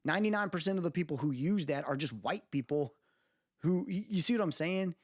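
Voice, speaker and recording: A man's voice: fairly high pitch, animated, a little fast, no room sound, studio quality. A man hosting a podcast in casual, slangy conversation, opinionated and often checking that the listener follows him. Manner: opinionated, slangy, repeatedly seeks agreement with tag questions. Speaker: podcast host.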